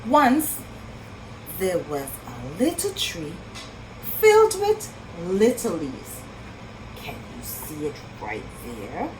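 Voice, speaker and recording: Slow, very animated woman reading to preschoolers. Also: sits low, noisy background. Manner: expressive, sing-song, pausing to ask young listeners questions. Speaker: children's storytime reader and teacher. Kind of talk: read-aloud story for preschool children.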